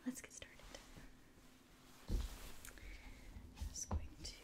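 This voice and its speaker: A woman in her twenties doing a whispered ASMR roleplay in a soft, breathy, gentle and reassuring voice, close to the mic. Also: extremely slow. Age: 20s. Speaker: ASMR performer playing an alien medical examiner. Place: small indoor room.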